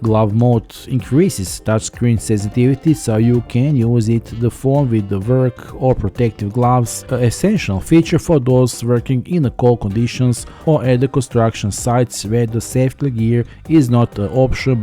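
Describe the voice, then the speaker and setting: A man reads a scripted tech review in a very dark, soft-edged voice at a medium pitch. Faint music plays behind his narration. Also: moderate pace, few pauses.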